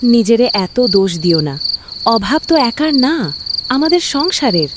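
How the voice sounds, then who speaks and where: A woman voicing a gentle, hopeful village mother who reassures her husband. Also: on the high side, animated, a little slow. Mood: tender. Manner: soothing, reassuring. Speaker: cartoon voice actor. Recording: loud cricket and insect noise.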